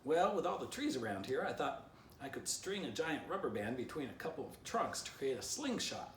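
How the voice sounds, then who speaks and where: A man voicing an excitable, scheming storybook dog who pleads and grumbles in exasperation, obsessed with catching a squirrel. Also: medium pitch, fairly quick, voice pushed up. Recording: roomy, distant mic, faint noise.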